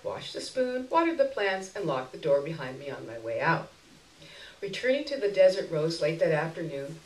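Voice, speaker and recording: A woman reading a short story aloud expressively as a stage performance. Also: sits low. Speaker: story reader. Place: roomy indoor stage event. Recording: roomy echo, recorded far from microphone.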